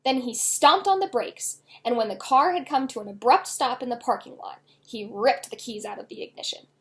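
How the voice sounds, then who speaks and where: A woman author reading her own children's novel aloud in an expressive storytelling style. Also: fairly high pitch, moderate pace.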